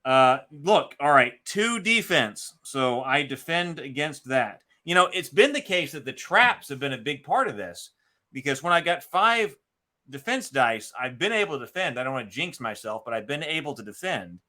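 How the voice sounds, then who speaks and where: A man's voice: a little high, sing-song, moderate pace, bright, forceful tone. A man hosting a solo board game session, talking casually through his moves and dice counts as he thinks aloud. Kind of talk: casual live play-by-play with narrated moves.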